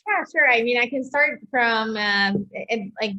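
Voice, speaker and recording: A woman's voice: on the high side, pushed and bright. Young woman, slightly muffled recording. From Serbia. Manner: chatty, halting, many hedges and self-corrections. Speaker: interview guest, doctor and entrepreneur. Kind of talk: casual autobiographical talk.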